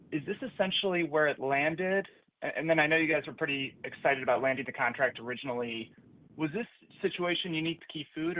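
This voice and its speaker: Analyst guy phoning in questions, quick talker, polite and a bit stumbly, tinny line. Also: medium pitch. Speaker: financial analyst asking questions. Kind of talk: conversational question on an earnings call. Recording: narrow tinny phone line.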